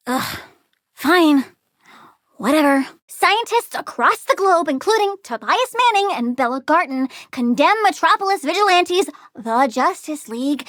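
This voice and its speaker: A very high-pitched, girlish plant villain for a video game. She is bratty and morbidly curious, blunt in a playful, flippant way. Her pitch swings in lively arcs, and the sound is dry and close. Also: no room sound, exaggerated voice. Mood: sulky, then matter-of-fact. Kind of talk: video game character voice lines.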